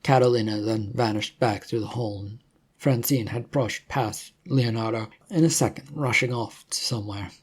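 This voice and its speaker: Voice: mid-range voice, moderate pace, darkish tone, husky. A narrator reading a scripted adventure story in the manner of an audiobook.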